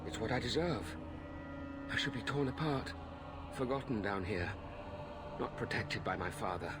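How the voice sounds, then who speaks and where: A man playing a tormented, pitiful killer in a video game, halting and anguished as he pleads. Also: on the low side, expressive, music in the background, natural voice. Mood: bleak self-loathing. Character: tormented, self-loathing male killer, magistrate's son, pitiful. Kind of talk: video game dramatic acting.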